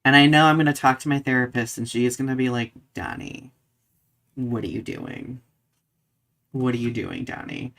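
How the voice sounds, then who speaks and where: A man's voice: mid-range voice, normal speed, creaky, fairly bright tone. A man co-hosting a podcast in casual conversation, speaking expressively and emphatically with a filler-laden, searching delivery. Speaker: podcast co-host.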